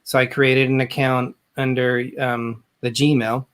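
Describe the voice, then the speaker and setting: A man's voice: medium pitch, little pitch movement, a little slow, a bit bright, clean tone. Man, from England, travel creator, casual, rambling, video call audio. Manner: easygoing, rambling with fillers. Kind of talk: casual live chat.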